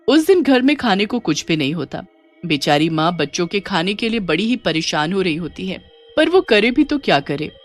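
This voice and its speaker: A woman narrating a scripted children's ghost story as a storyteller. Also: medium pitch. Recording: music behind the voice.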